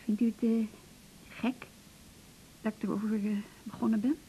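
An actress playing a depressed, pleading woman patient in a radio drama, halting and stammering in short broken phrases. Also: little pitch movement, warm tone, lightly acted. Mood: dejected. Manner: halting, stammering, repeats words, breaks into short fragments. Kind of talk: naturalistic 1980s Dutch radio drama acting. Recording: noisy older radio recording with rumble.

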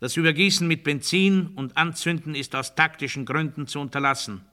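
An actor playing an obedience-obsessed man delivering absurd totalitarian doctrine in a deliberate, declamatory radio play monologue. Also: on the high side. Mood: quiet, controlled confiding. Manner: deliberate, declamatory, swinging from hushed confiding to strident proclamation.

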